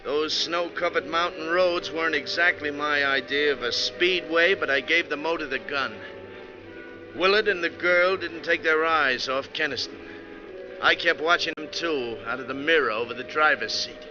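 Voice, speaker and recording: An actor playing a tough, wry bus driver who narrates a thriller in colloquial old-time radio style. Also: male voice, fairly high pitch, moderate pace, muffled recording, close to an everyday voice. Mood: tense recounting. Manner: colloquial, hard-boiled storytelling. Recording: thin old radio recording, music behind.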